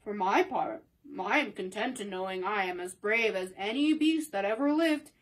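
A woman voicing a proud but modest lion in a storybook reading, speaking slowly. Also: mid-range voice, lightly acted, low-quality recording.

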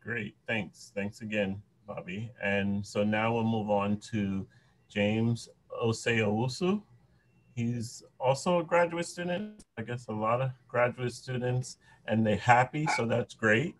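A man moderates an online panel with a mid-pitched voice. He keeps his remarks brief and friendly. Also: unhurried, rough recording.

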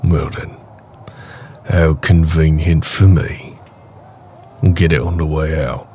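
Man voicing a very deep, slow-spoken orc raider. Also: steady tone, warm tone, a little hiss or hum. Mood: wry, teasing. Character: big gruff male orc raider, protective, wry. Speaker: voice actor. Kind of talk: boyfriend roleplay audio drama.

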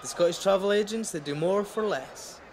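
A man's voice: high-pitched, moderate pace, a little mellow, a little hiss or hum. A man doing voice-over for adverts, acting out sales scripts with theatrical, emphatic delivery. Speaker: voice-over actor.

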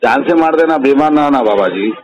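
A man's voice: mid-range voice, expressive, a little fast, slight background noise. A man with a Hyderabadi accent calling on the phone, deferential but asking blunt questions. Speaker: caller seeking a service. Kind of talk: phone conversation. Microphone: telephone. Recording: muffled lo-fi recorded phone call.

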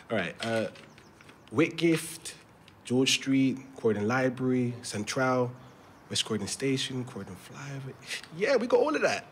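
A man's voice: medium pitch, big pitch swings, takes it slowly, fairly bright tone, slight background noise. An actor playing a young man in a naturalistic audio drama, matey and colloquial, prickly with his mum. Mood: upbeat, matey. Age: young adult.

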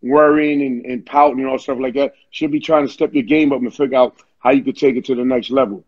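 A man's voice: medium pitch, fairly even intonation, quick pace, breathy edge. A man hosting a casual interview over a video call, talking in a slangy, encouraging way. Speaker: interview host. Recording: dull, muffled video-call audio.

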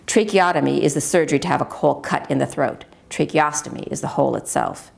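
A middle-aged woman with an American accent reads literary memoir aloud. Her voice sits very low and has a lot of fry. The delivery is measured and earnest, as an author reading her own work. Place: at a wooden table in a home dining room.

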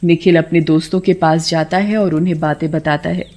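Story narrator: woman, slightly low pitch, scripted storytelling delivery. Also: fairly even intonation, fairly quick, warm tone.

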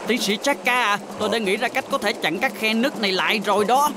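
A man's voice: sits high, fast talker, loud music behind the voice, lightly acted. A man playing an insatiably hungry, guileless man in a dubbed cartoon, innocently insistent and matter-of-fact. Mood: earnest.

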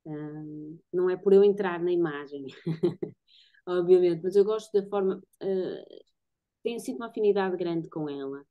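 A woman's voice: on the low side, moderate pace. A woman in her thirties with a European Portuguese accent, thoughtfully explaining her work in conversation, searching for her words. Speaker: guest photographer and artist. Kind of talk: casual explanatory conversation about own work. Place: home bedroom-living room, indoors. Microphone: computer mic on a video call. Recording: dull, lo-fi video-call audio.

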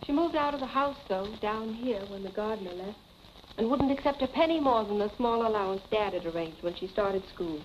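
An American actress playing a poised, firm young wife and older sister in a 1940s film drama. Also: female voice, medium pitch, expressive, a little fast, close to an everyday voice. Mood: composed. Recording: dull, noisy 1940s film soundtrack.

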